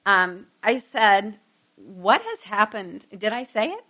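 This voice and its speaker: A woman's voice: mid-range voice, sing-song, takes it slowly, sharp tone. A middle-aged woman giving an informal Bible lesson to a group, conversational, with self-deprecating asides to her listeners.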